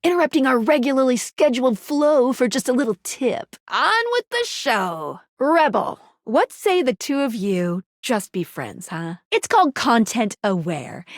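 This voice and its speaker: A woman's voice: fairly high pitch, no room sound, studio quality. A woman voicing a peppy, quirky female guide in a dating sim video game, with a perky, theatrical delivery. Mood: chatty, wry. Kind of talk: animated video game character voice lines.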